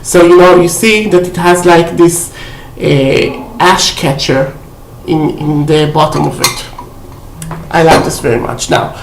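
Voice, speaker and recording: A woman's voice: deep voice, fairly even intonation, a little slow, slight background noise. A woman casually showing off a shopping haul on her vlog, chatty and full of fillers, often checking in with "okay?". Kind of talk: casual haul video chat. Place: indoor room at home. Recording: roomy echo.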